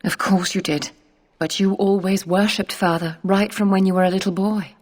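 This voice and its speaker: An actress playing a warm, frank young noblewoman and loving sister in a video game, speaking earnestly and directly. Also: mid-range voice, fairly even intonation, moderate pace, natural voice. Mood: firm.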